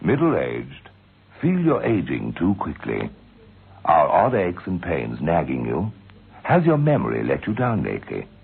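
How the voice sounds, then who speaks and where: A man reading a scripted radio commercial as a health tonic announcer, in a gravelly voice. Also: sits low, huge pitch swings, measured pace, full character voice. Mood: persuasive. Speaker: advertising announcer. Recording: tinny lo-fi vintage radio recording.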